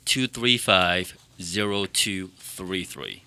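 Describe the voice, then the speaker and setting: A man with a deep voice and a very bright, forceful edge answers interview questions about his work as a loan officer. He is from the Boston area and stumbles often, filling gaps with ums and restarting his sentences. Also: slow, smooth. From Boston area, United States. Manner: hesitant, many fillers and restarts. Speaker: mortgage loan officer, guest.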